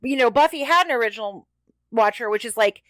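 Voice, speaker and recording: A woman talks casually as a co-host on a television fan podcast. Her voice is a little higher than average. The recording is dry and free of room sound. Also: expressive, a little fast, fairly bright tone, no echo. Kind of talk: casual chat about a TV show.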